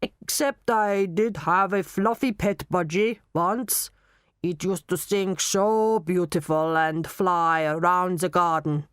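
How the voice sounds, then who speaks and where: A woman voicing a slow robotic hypnotised storybook man. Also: lowish voice, smooth, no room sound, studio quality. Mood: robotic, obedient. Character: hypnotised gruff man, robotic, obedient, confessing cruelties.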